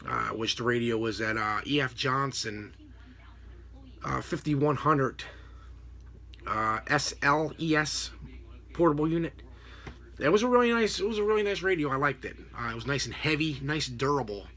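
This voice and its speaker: Bright-voiced American man, halting and rambling. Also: mid-range voice, lively intonation. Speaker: vlogger, radio scanner hobbyist. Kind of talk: casual unscripted explanation to camera. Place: small home room with a desk, indoors. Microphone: computer webcam at desk distance.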